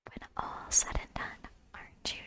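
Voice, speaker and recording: A woman reads video game dialogue aloud in a soft, deliberate, breathy whisper, close to the microphone in ASMR style.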